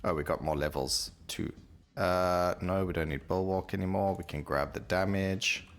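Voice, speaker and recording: Deep gravelly man doing gameplay commentary. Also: steady tone, moderate pace. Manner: thinking aloud, conversational, repeats himself. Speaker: gaming video host. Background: game music.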